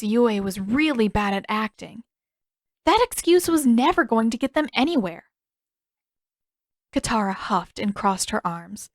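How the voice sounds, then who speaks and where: A woman narrator reads a story with expressive, scripted delivery. Her voice sits somewhat high, and the recording is very dry with no room sound. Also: no echo.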